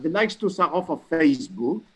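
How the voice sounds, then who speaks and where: Man, fairly high, very lively intonation, stammers and restarts. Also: normal speed. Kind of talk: casual conversation.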